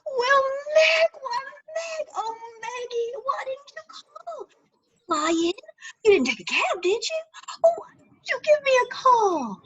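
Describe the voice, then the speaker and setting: A woman's voice: sits high, very animated, measured pace, pauses often, rough recording. A woman voicing a lonely, timid elder sister in a stage play reading, talking hesitantly and tearfully with stammers. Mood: tearful, distraught.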